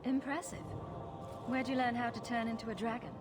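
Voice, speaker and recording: A woman's voice: fairly high pitch, little pitch movement, fairly quick, background noise, close to an everyday voice. A woman playing a cheeky, sarcastic young adventurer in a fantasy game, with dry, quipping delivery. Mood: dry, impressed. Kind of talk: video game dialogue acting.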